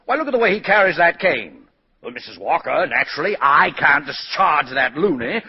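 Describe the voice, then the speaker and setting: Pompous, flustered, high-strung official. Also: male voice, very animated, moderate pace, slightly rough. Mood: indignant. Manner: officious, blustering, stammering. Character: nervous, pompous, irritable male store examiner. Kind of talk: 1940s radio acting. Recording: muffled vintage 1940s radio recording.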